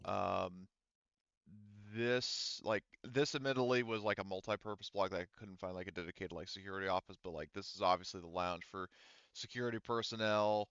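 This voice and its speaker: A man's voice: deep voice, fairly even intonation, fairly quick, a bit bright, clear, resonant tone, no echo, dull audio. A man running a tabletop game as game master, narrating casually and often groping for words.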